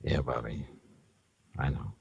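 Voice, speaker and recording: A man playing a kindly, wry private investigator in a radio drama, speaking in a gentle, easygoing, coaxing way. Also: extremely low pitch, fairly even intonation, unhurried, gravelly, slightly rough, dry recording, muffled recording, full character voice. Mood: sympathetic. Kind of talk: 1950s radio drama acting and first-person narration.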